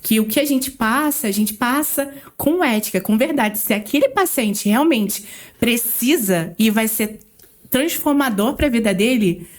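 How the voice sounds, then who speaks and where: A woman with a Rio de Janeiro accent, co-hosting a casual podcast and talking with animated emphasis. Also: a little high, measured pace. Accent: Rio de Janeiro Portuguese. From Rio de Janeiro, Brazil. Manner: animated, emphatic, laughs easily. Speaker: podcast co-host.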